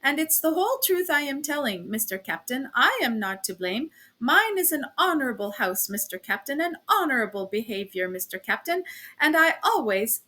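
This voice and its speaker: A woman's voice: high voice, sharp tone, exaggerated voice. A woman voicing a flustered, fawning German landlady with a German accent who patters out her protests.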